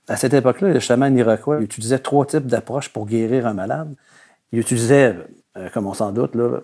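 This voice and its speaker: An older man from Quebec City with a Québécois accent, answering interview questions in a relaxed way, with many fillers and phrases that trail off. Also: medium pitch. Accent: Québécois French. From Quebec City, Canada. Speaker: guest historian.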